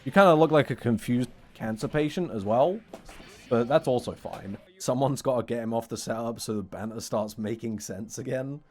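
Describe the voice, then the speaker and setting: A man's voice: medium pitch, normal speed, darkish tone, soft background music. A man streaming a fighting game and chatting casually with his chat, joking and sarcastic in a rambling way. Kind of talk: casual live-stream chat while playing.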